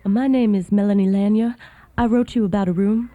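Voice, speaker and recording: An actress playing a weary, reserved young Southern wife, speaking politely and hesitantly in a period radio drama. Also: female voice, medium pitch, steady tone, brisk, dark tone, muffled recording, close to an everyday voice. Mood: weary politeness.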